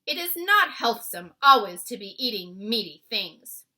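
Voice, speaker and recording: A woman's voice: a little high, big pitch swings, measured pace, bright, forceful tone, heavily acted. A woman voicing a big, kindly male giant who talks earnestly and eagerly, tumbling through jumbled made-up words.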